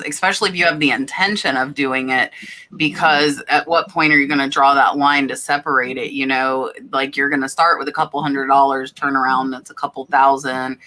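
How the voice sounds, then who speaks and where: Live show host: American woman, low register, bright edge, blunt and conversational. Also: low-quality recording. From Florida, US. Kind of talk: casual live chat, answering viewer questions.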